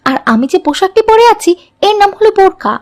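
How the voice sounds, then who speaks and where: A woman's voice: very high voice, very animated, quick pace, darkish tone. A woman voicing an earnest, stubborn teenage college girl in a moral-tale cartoon, speaking in careful, formal sentences. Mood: polite, firm.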